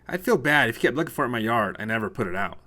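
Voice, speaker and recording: A man's voice: medium pitch, lively intonation, very fast, sharp tone. Man acting a cranky, dry-witted new homeowner. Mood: tired, polite refusal. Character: tired, cranky male newcomer, dryly sarcastic minimalist. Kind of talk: audiobook character voice.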